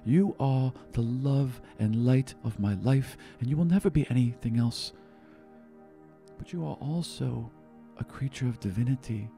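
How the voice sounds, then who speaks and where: A man voicing a gentle, sorrowful father in a tabletop roleplay, speaking tenderly, solemnly and haltingly. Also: medium pitch, gravelly, faint music behind. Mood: loving, solemn. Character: gentle, sorrowful elven father revealing a painful secret.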